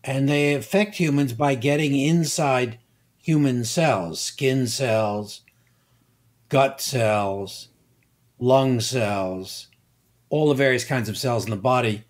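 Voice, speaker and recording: Older male expert guest, very slow and deliberate delivery. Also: mid-range voice. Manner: measured, halting, many fillers. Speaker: guest scientist. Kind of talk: explanatory interview answers.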